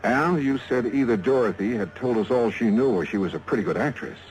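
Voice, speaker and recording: A man's voice: mid-range voice, expressive, brisk, a little hiss or hum, natural voice, low-quality recording. An American man playing a shrewd, wry private insurance investigator in a 1950s radio detective drama. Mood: alert.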